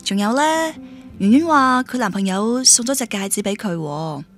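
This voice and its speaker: A woman's voice: mid-range voice, animated, normal speed, soft background music, close to an everyday voice. An actress playing a chatty, earnest and stubborn young female friend in a Cantonese radio drama. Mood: chatty, excited. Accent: Cantonese from Guangdong. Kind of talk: scripted radio drama acting.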